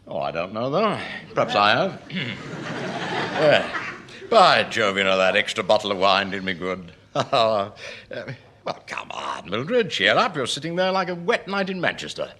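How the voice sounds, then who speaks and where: Animated, blustering English civil servant. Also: male voice, lowish voice, a little slow, heavily acted. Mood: jovial. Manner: blustering, stammering, jovial. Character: pompous, work-shy middle-aged male civil servant. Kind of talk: British radio sitcom acting.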